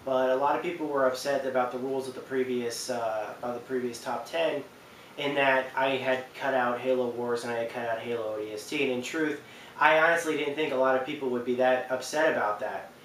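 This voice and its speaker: A man in his twenties hosting a video, talking casually and a little haltingly to the camera. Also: medium pitch, fairly even intonation, fairly bright tone. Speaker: video host presenting a ranking. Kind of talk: casual unscripted talk to camera. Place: small indoor living room on a couch. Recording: roomy, distant mic, faint noise.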